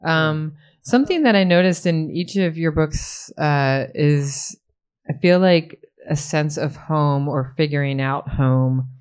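A woman's voice: low voice, takes it slowly, darkish tone, smooth. A woman moderating a panel of authors, asking long, rambling questions in a friendly way.

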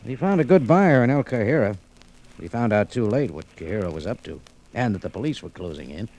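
An actor playing a tough, wry adventurer in an old radio detective drama, with punchy hardboiled delivery. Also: male voice, lowish voice, lively intonation, a little fast, darkish tone. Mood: wry, matter-of-fact. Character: tough, wry male adventurer narrating his exploits. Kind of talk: 1940s-50s radio detective drama acting. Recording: slightly noisy old radio recording.

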